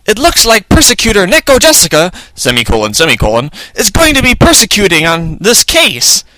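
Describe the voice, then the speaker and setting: Dude doing a whiny perky young woman sidekick, really high bouncy voice, petulant and gushy. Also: wildly swooping pitch, a little fast, sharp tone, dry recording, heavily acted, rough recording. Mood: anxious.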